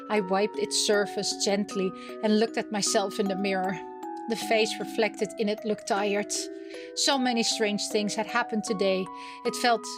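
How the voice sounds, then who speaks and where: A woman reading a visual novel aloud as a gameplay host, expressive and theatrical, with playful asides. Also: medium pitch.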